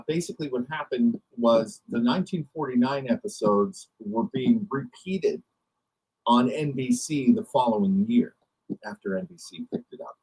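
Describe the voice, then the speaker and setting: A man's voice: mid-range voice, a little mellow, breathy edge, poor audio. A man, hosting a livestream in casual, rambling chat. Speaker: livestream host.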